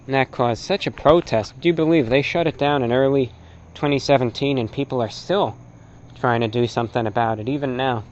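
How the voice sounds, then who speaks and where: A man with an American accent and a medium-pitched voice delivers an off-the-cuff, opinionated talk. He speaks haltingly but with emphasis, often restating short phrases to drive a point home. The recording is somewhat dull and lo-fi. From North America. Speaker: presenter, shortwave radio enthusiast. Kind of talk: unscripted opinionated lecture to camera.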